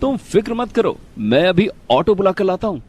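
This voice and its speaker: A man's voice: sits high, big pitch swings, normal speed, very noisy. A man voicing a friendly, helpful, sincere young college student in a cartoon story. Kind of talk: animated moral story dialogue.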